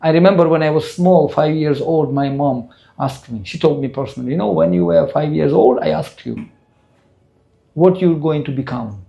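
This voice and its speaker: An older man with a Russian accent lectures a temple audience in earnest, building his stories with rising emphasis. His voice is rough and gravelly, with a dark, mellow color and a pitch a little above the usual for a man. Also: lively intonation, moderate pace, lo-fi sound. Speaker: spiritual teacher.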